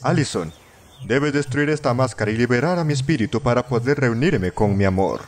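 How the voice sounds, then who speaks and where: A man's voice: mid-range voice, lively intonation, a little fast, slight background noise, close to an everyday voice. A man voicing a solemn ancient witch-doctor spirit in a floating mask, with formal storytelling and a Latin American Spanish accent, in a dubbed short film. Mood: weary, grateful.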